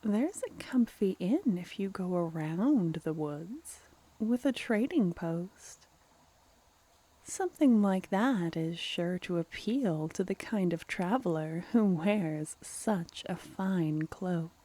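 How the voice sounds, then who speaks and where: A woman voicing a regal, mischievous female vampire in a softly spoken ASMR fantasy roleplay, teasing and mock-polite. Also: lowish voice, lively intonation, slow. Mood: mock sympathy. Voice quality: soft, breathy.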